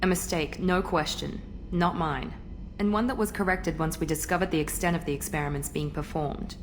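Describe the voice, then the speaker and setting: A woman's voice: sits low, moderate pace, faint music behind, lightly acted. A woman playing a proud, cool elite operative in a video game, frank and composed. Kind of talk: video game character acting.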